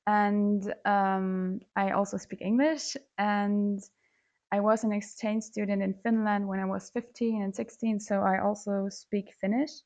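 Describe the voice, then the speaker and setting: A woman's voice: mid-range voice, fairly even intonation, a little slow, a little mellow. A young woman from Germany, a student guest chatting with frequent filler words. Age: 20s. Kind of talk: podcast interview answers.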